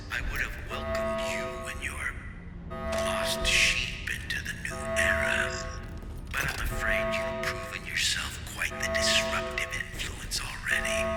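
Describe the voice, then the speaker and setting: An actor playing a calm, menacing cult leader, pompous and mockingly polite, in a breathy hushed voice with echoing reverb. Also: male voice, mid-range voice. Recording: echoing voice under loud music.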